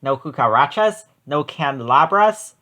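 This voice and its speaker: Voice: mid-range voice, animated, unhurried, sharp tone. A storybook reader voicing an always-hungry, mannerly chupacabra monster. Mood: hungry, polite. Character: chupacabra, always-hungry monster who likes goats' snacks.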